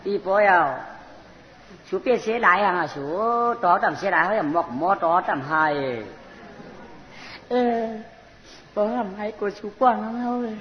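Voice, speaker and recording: A storyteller telling a comic folk tale in an animated way, often repeating short phrases. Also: medium pitch, moderate pace, long pauses. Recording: muffled, noisy recording in a reverberant space.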